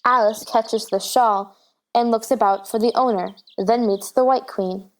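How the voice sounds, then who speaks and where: A woman's voice: fairly high pitch, steady tone, unhurried. A woman reading stage directions as the narrator of a scripted storybook drama.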